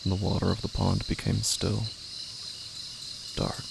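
Ominous audio-drama narrator acted by a man, low, solemn, hushed delivery with creaky edges. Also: flat delivery, measured pace, background noise, heavily acted. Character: grave, ominous horror story narrator. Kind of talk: slow, scripted horror narration.

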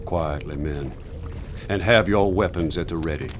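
An actor playing a grim, commanding police inspector who recounts a raid in a radio drama. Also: male voice, low voice, normal speed, a little hiss or hum, lightly acted. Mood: firm, commanding. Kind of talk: radio drama acting with narration. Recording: music under the voice.

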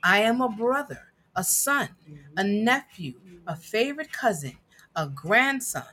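A woman reading a picture book aloud with emphatic, dramatic phrasing and deliberate pauses. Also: medium pitch, expressive, pushed and bright. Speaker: guest reader and producer.

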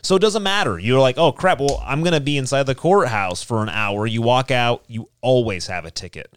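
An American man in casual conversation, speaking in an animated, exasperated way with mild slang. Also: mid-range voice, brisk, clean tone, no room sound. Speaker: talk show panelist. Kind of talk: casual group chat.

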